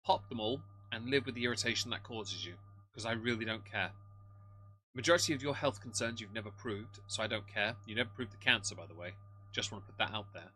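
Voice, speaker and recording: A man's voice: on the low side, fairly quick, bright, forceful tone, a little hiss or hum, low-quality recording. A man co-hosting live reaction commentary, blunt, sarcastic and scornful, with crude humour. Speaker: live stream commentary co-host.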